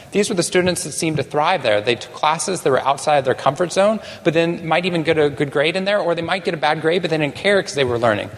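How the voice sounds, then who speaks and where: A man from Texas speaks from a conference stage with a somewhat high voice. He is animated and uses dry, self-deprecating humor. His voice carries a long reverb through the big hall. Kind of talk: keynote talk to a live audience.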